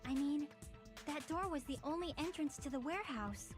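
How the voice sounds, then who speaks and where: An actress playing a sharp, earnest teenage girl who reasons through a case in an anime game dub. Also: sits high, moderate pace, close to an everyday voice. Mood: reasoning, firm. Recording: game music behind the voice.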